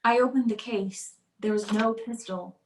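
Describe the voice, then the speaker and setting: An actress plays an anxious wealthy wife in an old-style radio thriller. Her voice sits high and pleads earnestly for belief. The sound is noticeably roomy. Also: fairly even intonation, normal speed, lightly acted. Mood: panicked. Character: anxious wealthy wife convinced her husband wants her dead. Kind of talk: old-time radio drama acting.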